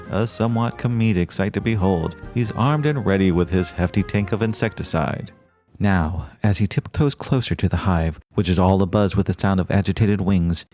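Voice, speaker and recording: A man playing an excitable, earnest small-town radio reporter with a florid, theatrical way of narrating. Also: medium pitch, lightly acted. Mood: hushed suspense. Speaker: host and narrator of an audio drama. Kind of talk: old-time radio style dramatic narration.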